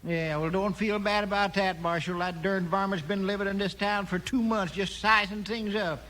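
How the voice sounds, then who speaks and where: An actor playing a cantankerous, excitable old townsman with a rural American accent, folksy and flustered, in a 1950s radio western. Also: high voice, normal speed, slight background noise. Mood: indignant. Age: older.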